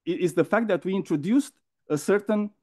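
A man's voice: high-pitched, brisk, warm tone. A man from Romania with a Romanian accent, arguing in a debate, insistent and repetitive, often adding tag questions. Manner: insistent, repeats words, frequent tag questions. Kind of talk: live debate argument.

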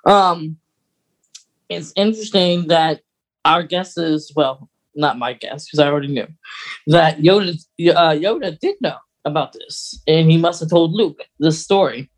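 A podcast co-host in casual fan chat, geeky and halting, getting animated when amused. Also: medium pitch, low-quality recording. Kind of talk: casual fan chat about film lore.

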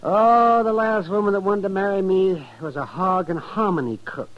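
A man's voice: high voice, moderate pace, close to an everyday voice. A man acting an older, folksy, teasing small-town doctor in a 1950s radio western, hemming and chuckling as he talks. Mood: grumbling. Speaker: actor playing a town doctor. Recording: muffled, noisy old radio recording.

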